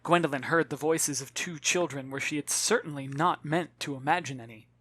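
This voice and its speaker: A narrator reading a children's novel as expressive audiobook storytelling, dramatic and clearly articulated, with wry asides. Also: mid-range voice, brisk, no room sound. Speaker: author reading own novel.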